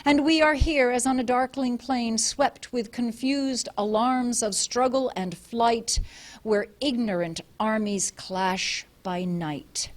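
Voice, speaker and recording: Woman reading as a shaken fireman reciting a poem. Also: fairly high pitch. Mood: solemn. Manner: slow, solemn recitation.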